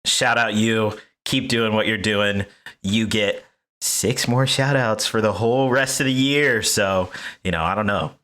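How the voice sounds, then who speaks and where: Jokey man with a low, bright voice. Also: unhurried. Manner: jokey, laughs often. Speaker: podcast co-host. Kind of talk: casual podcast chat.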